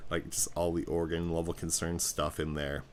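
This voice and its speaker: A man hosting a casual livestream, talking in a low voice and rambling excitedly like a fan. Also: moderate pace, slight background noise. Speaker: livestream host.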